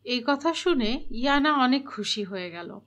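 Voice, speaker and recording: A woman's voice: high voice, animated, a little slow, fairly bright tone. A woman reading scripted storybook narration for a children's cartoon.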